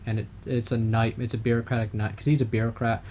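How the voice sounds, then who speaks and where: A man's voice: medium pitch, little pitch movement, quick pace, a little mellow, slight background noise. A man co-hosting a casual political podcast, talking in a rambling way with frequent hesitations and restarts. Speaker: podcast co-host.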